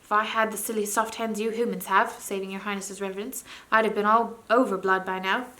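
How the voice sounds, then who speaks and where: A gloomy, long-armed male Marshwiggle, voiced by a woman reading a storybook, blunt and plain-spoken with a grumbling edge. His voice stays close to the reader's own, just a touch louder. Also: mid-range voice, steady tone, fairly quick, natural voice.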